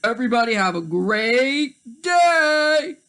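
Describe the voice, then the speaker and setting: A man's voice: extremely high pitch, big pitch swings, slow pace, bright, forceful tone, clear, resonant tone. A man reading stories aloud for young children in a friendly, direct manner. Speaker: children's storybook reader.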